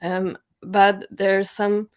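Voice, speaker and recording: A woman from Bavaria with a German accent, chatting as an interview guest on a remote call, hesitant and soft-spoken with frequent restarts. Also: mid-range voice, level tone, drawn-out words. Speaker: guest, nature journaling educator. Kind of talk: casual interview chat.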